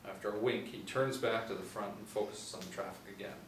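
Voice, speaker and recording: A man in his forties reads script narration in a low voice. The recording is roomy and a little distant. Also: fairly even intonation, brisk. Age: 40s. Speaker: narrator of stage directions. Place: small room indoors. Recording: roomy, distant mic, faint noise.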